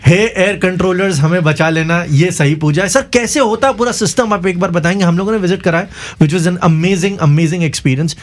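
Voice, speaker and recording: A man's voice: high-pitched, normal speed, hardly any pauses. A man with an Indian accent hosting a conversational interview, playful and teasing with effusive reactions. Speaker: talk show host interviewing guests. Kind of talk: conversational Hindi-English interview.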